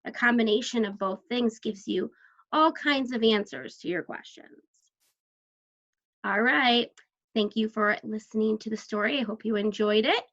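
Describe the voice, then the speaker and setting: A woman teaching young children about a story in a fairly high voice with noticeable creak. She speaks slowly, puts questions to her listeners and encourages their answers. The recording is somewhat dull and lo-fi. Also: fairly bright tone. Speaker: elementary school teacher. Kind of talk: read-aloud lesson talk to young children. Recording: dull lo-fi sound.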